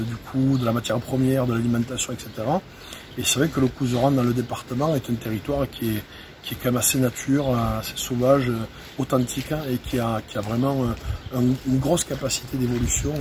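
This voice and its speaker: Male politician for an interview: very quick pace, medium pitch, fluent, light background noise. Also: darkish tone. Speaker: regional elected official. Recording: faint ambient background noise.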